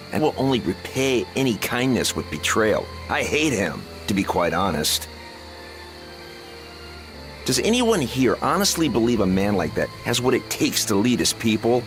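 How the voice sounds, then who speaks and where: A man playing a sharp-tongued, scornful but loyal strategist in a dramatic video game, speaking bluntly and articulately. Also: lowish voice, very animated, measured pace, over music. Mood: disdainful.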